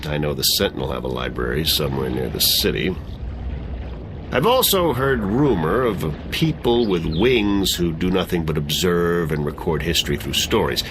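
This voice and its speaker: A man's voice: sits low, noisy background. An actor playing a sardonic middle-aged exile who laughs at his own jokes and loves whiskey.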